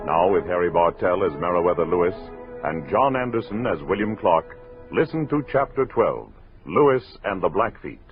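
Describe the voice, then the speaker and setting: A man's voice: low voice, lively intonation, normal speed. A man narrating a scripted 1960s radio drama in a formal, declamatory announcer's delivery. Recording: muffled old radio recording with music.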